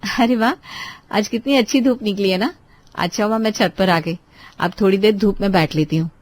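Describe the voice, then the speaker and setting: A woman's voice: mid-range voice, moderate pace, no room sound, natural voice. A woman storyteller voicing a polite, obliging young daughter-in-law in a family story. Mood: cheerful. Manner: deferential, obliging.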